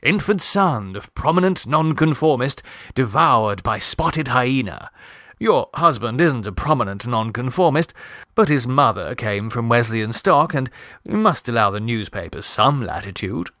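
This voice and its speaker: A man voicing a witty young gentleman in a storybook, lazy, droll and unconcerned. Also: medium pitch, animated, a little slow, dry recording, clean studio sound. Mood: mock-serious.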